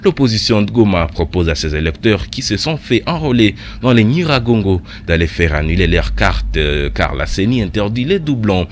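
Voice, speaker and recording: A male news anchor reading a radio news bulletin in a measured newsreader delivery. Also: on the low side, sing-song, a little hiss or hum.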